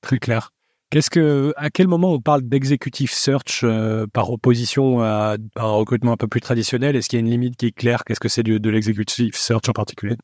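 A man's voice: mid-range voice, fairly even intonation, fairly quick, a little mellow, no room sound, studio quality. A man hosting a French podcast, introducing his guest and asking friendly questions with a few hesitations. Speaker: podcast host, interviewer. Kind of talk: podcast interview.

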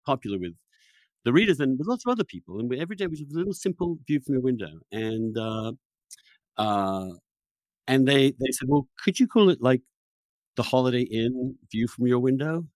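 Animated male podcast host. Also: medium pitch, no echo. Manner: opinionated, emphatic, with halting restarts. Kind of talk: conversational interview.

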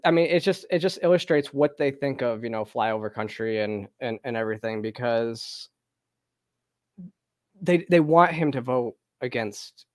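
A man's voice: mid-range voice, a little mellow, no room sound, studio quality. A man hosting a casual political podcast chat, hesitant and full of ums.

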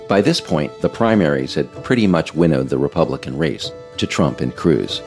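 An American man reading scripted narration in a measured, emphatic storytelling way. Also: very deep voice. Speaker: author reading own work, narrator.

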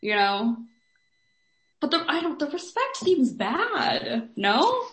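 A woman's voice: high voice, big pitch swings, takes it slowly, fairly bright tone, reverberant. A woman in a casual book discussion, tentative and questioning as she works out her reaction. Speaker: podcast host discussing short stories.

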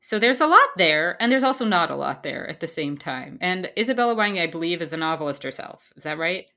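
A woman's voice: fairly low pitch, big pitch swings, fairly quick, bright, forceful tone. A woman co-hosting a podcast in casual chat, playful and ironic, rambling with fillers and laughs. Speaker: podcast co-host.

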